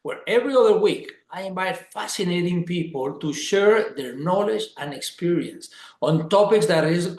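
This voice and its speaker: A man's voice: on the high side, reverberant. A man from Colombia hosting a webinar over a video call, talking with enthusiasm and stressing points by repeating words. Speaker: webinar host.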